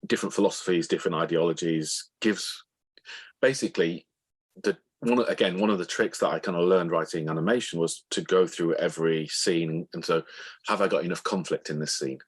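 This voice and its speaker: Male author guest, low husky register, halting and thoughtful explanations, conversational interview delivery.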